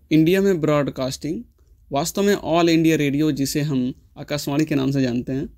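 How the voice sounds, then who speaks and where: A man delivers scripted explainer narration in a slightly high voice with a mellow, soft-edged tone. He keeps an informational manner throughout and drops English terms into his sentences. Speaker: host of a tech history explainer channel.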